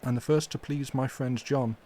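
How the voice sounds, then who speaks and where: A man voicing an elderly, stern but tender foreign professor who speaks in solemn, formal, halting phrases. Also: a little high, expressive, voice pushed up, lightly acted.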